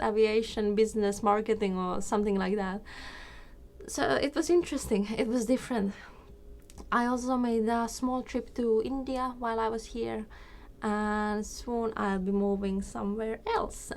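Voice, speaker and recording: A young woman in her twenties from Finland, with a Finnish accent, telling a personal story casually as a vlogger. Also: medium pitch. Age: 20s. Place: small room indoors.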